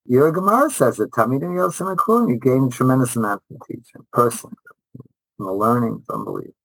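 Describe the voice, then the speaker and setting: A man's voice: medium pitch, animated, quick pace, vocal fry, husky, dry recording, rough recording. A middle-aged man, a rabbi and teacher answering interview questions conversationally, enthusiastic and emphatic, repeating phrases and often restarting.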